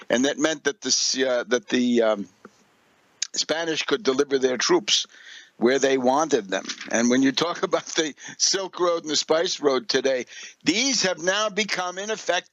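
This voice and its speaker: Male commentator, slightly high voice, dry close sound. Professorial, emphatic, sardonic, speaking off the cuff on politics and history. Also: bright, forceful tone, no echo, low-quality recording. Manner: deliberate, emphatic, with pointed sardonic phrasing. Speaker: radio commentator and host.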